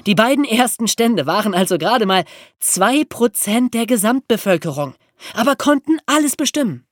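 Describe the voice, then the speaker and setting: Animated woman explaining history. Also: fairly high pitch, normal speed, no echo, studio quality. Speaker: podcast host explaining history. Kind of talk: lively educational explainer for young listeners.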